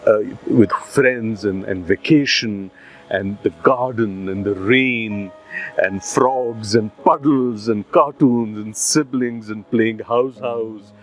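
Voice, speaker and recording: A man with an Indian accent hosting a series, speaking to his audience in a deliberate, emphatic storyteller's delivery. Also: lowish voice. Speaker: series host and presenter. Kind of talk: scripted presentation to an audience. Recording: soft music behind. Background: soft plucked guitar music.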